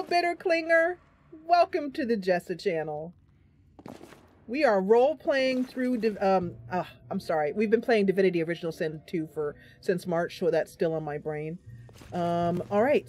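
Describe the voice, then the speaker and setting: A woman hosting a casual game livestream, chatty and animated, talking through her play and reading bits of story aloud. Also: mid-range voice, moderate pace. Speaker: streamer and host playing a fantasy role-playing game. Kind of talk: casual livestream gameplay commentary with narration read aloud.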